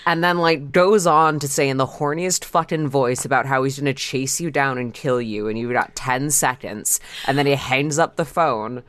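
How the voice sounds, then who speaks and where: A woman's voice: a bass voice, moderate pace, hardly any pauses, a bit bright, dry recording. A woman co-hosting a podcast, explaining things casually with some hesitation and swearing. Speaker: podcast co-host. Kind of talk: casual chat.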